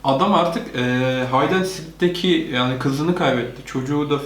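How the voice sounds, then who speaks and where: A man's voice: a little high, moderate pace, noisy background. Man reacting casually, roomy sound. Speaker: host, father reacting with his child. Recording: roomy home recording, music behind.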